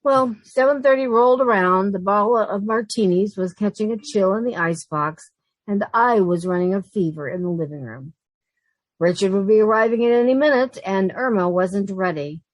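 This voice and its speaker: A woman's voice: medium pitch, moderate pace, no room sound, close to an everyday voice, poor audio. A woman playing an anxious, sardonic young roommate who also narrates, in an old-time radio sitcom style. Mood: wry narrating. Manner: wry, long-suffering, nervous.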